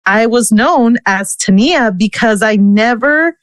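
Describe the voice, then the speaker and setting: A woman's voice: a little high, expressive, slow pace, fairly bright tone, no echo. A woman born in the United States whose first language is Spanish, a podcast guest candidly sharing a personal story with frequent "you know" and "right". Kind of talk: casual interview storytelling.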